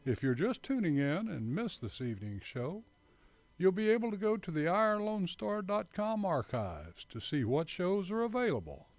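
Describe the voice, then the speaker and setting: A man's voice: high voice, very animated, moderate pace, no room sound. A man reading scripted credits as a radio announcer. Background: music.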